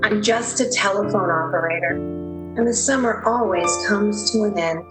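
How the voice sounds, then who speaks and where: A woman's voice: mid-range voice, normal speed, loud music behind the voice, natural voice. A woman playing a heartbroken young 1930s telephone operator in a radio play, wistful and downcast. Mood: resigned.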